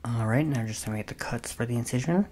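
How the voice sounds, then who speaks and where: A woman playing a possessive, soothing vampire doctor in a breathy, hypnotic whispered ASMR roleplay. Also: extremely low pitch, background noise. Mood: businesslike, muttering. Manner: slow, hypnotic, softly commanding. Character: possessive, soothing female vampire doctor turning a human into her thrall.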